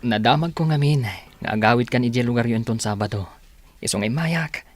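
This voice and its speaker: A man's voice: medium pitch, moderate pace, no echo, faint music behind, natural voice. A man playing a decent, earnest young villager in a radio drama, speaking with an Ilocano accent. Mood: sympathetic, earnest. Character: decent, sympathetic young man, disapproving of his womanizing cousin.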